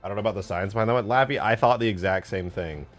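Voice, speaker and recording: A man's voice: on the low side, very fast. A man chatting casually and informally on a livestream, replying to viewers in a slangy, self-deprecating way. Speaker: streamer, game modder. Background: background music.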